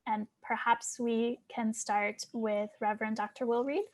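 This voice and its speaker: A woman with a slightly high voice acts as host. She delivers a prepared introduction, the kind used to open an event and present guests. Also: little pitch movement, unhurried.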